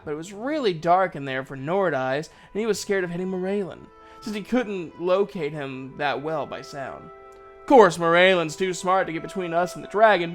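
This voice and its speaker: A man narrating a fantasy story in the first person, in a folksy, conversational storyteller's manner. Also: high voice. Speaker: narrator, voice actor.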